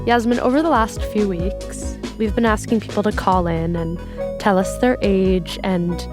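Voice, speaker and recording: A woman's voice: mid-range voice, steady tone, a little slow, over music. A woman co-hosting a podcast conversation, prompting with curious questions. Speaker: podcast producer and co-host.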